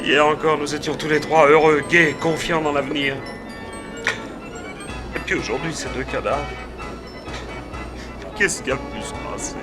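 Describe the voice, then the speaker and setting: An actor playing an excitable, grieving fairground barker in a French radio detective serial, theatrical and halting. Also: male voice, on the high side, music in the background, lightly acted. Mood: grief-stricken. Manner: theatrical, halting and exclamatory when upset.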